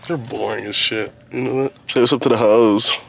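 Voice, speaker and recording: A man's voice: mid-range voice, fairly even intonation, a little fast, gravelly, a crowd in the background. A twenty-year-old American man vlogging casually, with slangy, crude, teasing talk and plenty of swearing. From New Jersey, United States. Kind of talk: casual vlog chat to camera, heavy swearing. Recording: noisy handheld vlog audio.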